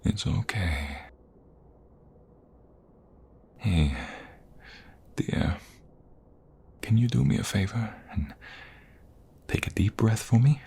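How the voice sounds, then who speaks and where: A man acting a tender, protective boyfriend in an intimate ASMR roleplay, speaking softly and soothingly, often close to a whisper. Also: on the low side, expressive, slow, long pauses, full character voice. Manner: soft, soothing, often breathy near-whisper. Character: tender, devoted boyfriend, a gentle protective lover.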